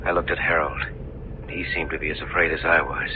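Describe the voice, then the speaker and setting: An actor playing an uneasy, haunted man narrating an old-time radio mystery in a brooding, hesitant, deliberate way. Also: a bass voice, steady tone. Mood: brooding, eerie. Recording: noisy muffled old radio recording.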